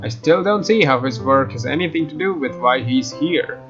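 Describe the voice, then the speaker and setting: Male let's play narrator, slightly high voice, reading story text aloud over clear guitar game music. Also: lively intonation, moderate pace. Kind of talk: scripted narration read aloud from a visual novel. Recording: dull, lo-fi microphone sound.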